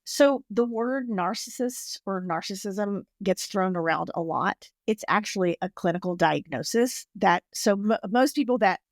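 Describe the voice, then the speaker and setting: A woman relationship therapist giving calm, practical explanations in a podcast interview. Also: medium pitch, unhurried, few pauses, dry recording, clean studio sound.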